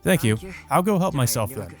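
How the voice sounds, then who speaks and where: A man's voice: mid-range voice, sing-song, a little fast. A man voicing an earnest, apologetic teenage brother, the protagonist of a visual novel. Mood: casual. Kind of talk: visual novel character read-aloud. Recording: music behind the voice. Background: music.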